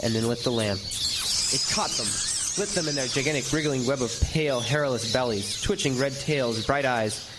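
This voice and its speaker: A man acting a haunted lighthouse keeper, narrating his horrifying ordeal in an intense, halting way in an old-time radio drama. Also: mid-range voice, heavy background noise, natural voice. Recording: live stage with loud animal sound effects.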